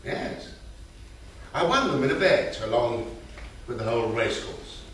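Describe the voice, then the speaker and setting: A man's voice: mid-range voice, wildly swooping pitch, a bit bright. Man acting elderly fond grandfather, echoing and distant. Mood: playful, fond. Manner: unhurried storytelling with hesitant pauses and endearments. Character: elderly grandfather, raconteur former gambler, fond and wistful. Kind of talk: staged script reading. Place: theatre stage. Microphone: distant room mic. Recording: roomy echoing stage recording, distant mic, hiss.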